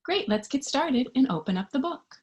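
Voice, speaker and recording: A woman reading a picture book aloud to young children in an expressive, child-directed storytime style. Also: high voice, normal speed, dull audio. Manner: expressive, child-directed, with questions and sound effects.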